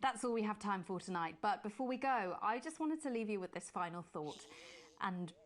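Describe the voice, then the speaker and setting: A woman playing a posh female TV presenter who signs off smoothly, then turns obsessive and pleading. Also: medium pitch, animated, fairly quick, close to an everyday voice. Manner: polished, then pleading and unsettling. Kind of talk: late-night TV sign-off.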